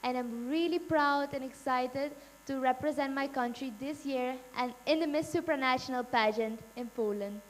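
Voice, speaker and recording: A nineteen-year-old woman from Suriname introducing herself, then reading out fan questions with halting pauses over names. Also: high-pitched, slight background noise. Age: teens. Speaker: pageant contestant and question moderator.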